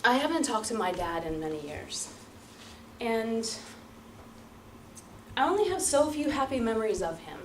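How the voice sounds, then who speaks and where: Female public speaker, theatrical storyteller with very lively intonation, picked up from a distance with light noise. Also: fairly high pitch. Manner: theatrical, emphatic, with deliberate repetition and pauses. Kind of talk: rehearsed dramatic storytelling speech to an audience. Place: room before an audience. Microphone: distant microphone. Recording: roomy, distant mic, light background noise.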